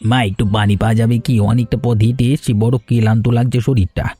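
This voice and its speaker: An actor voicing a kind, generous older village gentleman in a cartoon, speaking in a gentle, fatherly way. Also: mid-range voice, level tone, fairly quick, over music, natural voice. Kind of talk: cartoon voice acting.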